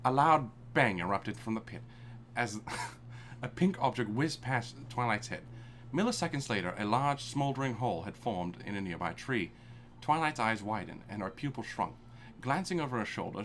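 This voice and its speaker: A man's voice: mid-range voice, fairly bright tone, a little hiss or hum. A man reading fan fiction aloud for laughs on a live stream. He reads dramatically, then breaks off to laugh and swear. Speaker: fanfic reader. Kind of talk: comedic live fanfic reading.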